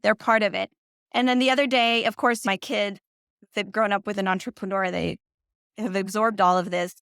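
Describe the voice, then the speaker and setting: A woman in her forties speaks in a smooth, resonant voice, dry and close to the mic. She talks casually as an interview guest. Her stories are animated, and she acts out the things other people said. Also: medium pitch, normal speed, no echo. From Central America. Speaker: guest, company founder.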